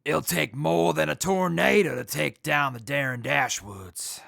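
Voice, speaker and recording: An actor in an audio drama playing an earnest hepcat military captain who talks in jive beatnik slang. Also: male voice, on the high side, measured pace, vocal fry, sharp tone, no room sound, studio quality, exaggerated voice. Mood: easygoing, proud.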